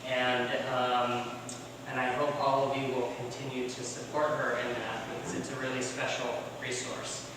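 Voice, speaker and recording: Halting curator in an echoey gallery. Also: male voice, medium pitch, flat delivery, crowd noise. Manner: halting, many ums and restarts. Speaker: guest curator. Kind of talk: speaking at an exhibition opening. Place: museum gallery event. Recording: echoey room, far from mic, background noise.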